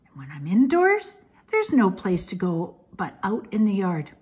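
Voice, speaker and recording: A woman's voice: mid-range voice, huge pitch swings, a little slow, a little mellow, husky, heavily acted. A woman voicing a lonely, bored young male pig who whines plaintively, in a storybook reading. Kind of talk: storybook character voice.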